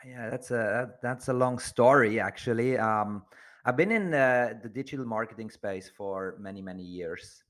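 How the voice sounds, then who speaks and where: A man's voice: mid-range voice, a little slow, a bit bright. A man from Switzerland, chatting casually as an interview guest. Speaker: podcast guest, agency entrepreneur.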